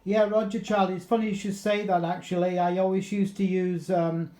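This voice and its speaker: Higher-pitched man chatting in an echoey room. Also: flat delivery, fairly quick, mellow. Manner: chatty, rambling, uses radio jargon. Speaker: amateur radio operator. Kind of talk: casual radio contact chat. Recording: roomy, echoey room sound.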